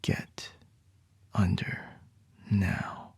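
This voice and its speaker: A man doing an ASMR roleplay as a boss, speaking in a slow, teasing, intimate whisper close to the microphone. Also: no room sound.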